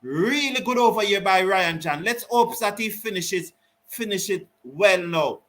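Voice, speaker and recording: Guy doing live cricket commentary, high bright voice, gets loud on the sixes. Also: measured pace. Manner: lively, rises excitedly on big shots, Caribbean English phrasing. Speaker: cricket watch-along commentator. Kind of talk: live ball-by-ball commentary reading viewer chat.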